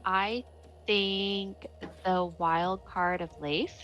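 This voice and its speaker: A woman's voice: medium pitch, fairly even intonation, very slow, fairly bright tone, dull audio. A woman talking casually as a player in a tabletop role-playing game. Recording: music under the voice.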